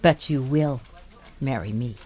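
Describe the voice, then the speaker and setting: A woman's voice: a bass voice, measured pace, darkish tone, a little hiss or hum. An actress playing a wry, indulgent older wife who tells her story confidingly in an audio drama. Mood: insistent, pleading. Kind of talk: audio drama acting with first-person narration.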